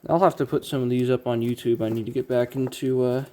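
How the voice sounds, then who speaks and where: Mellow-voiced man, casual and halting. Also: mid-range voice, steady tone, a little hiss or hum. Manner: halting, thinking aloud. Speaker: record collector, video host. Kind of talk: casual unboxing commentary.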